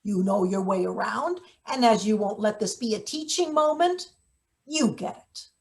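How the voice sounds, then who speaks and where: A woman's voice: medium pitch, animated, moderate pace, vocal fry. A woman playing a sardonic, hard older forewoman in a live play reading, with a dry, wordy, sarcastic manner. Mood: sardonic, scolding. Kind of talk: live table read of a satirical play.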